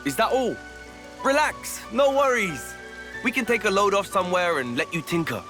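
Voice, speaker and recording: An actor with an English accent playing a blunt, easygoing, warm-hearted young fighter in a video game cutscene, with colloquial, straight-talking delivery. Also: male voice, sits high, very animated, moderate pace, over music. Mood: relaxed, reassuring.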